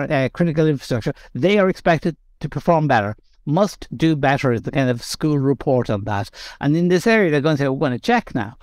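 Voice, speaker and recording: A man's voice: a little high, no echo, studio quality. A man as a guest expert in an interview, explaining steadily and repeating phrases for emphasis. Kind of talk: conversational interview.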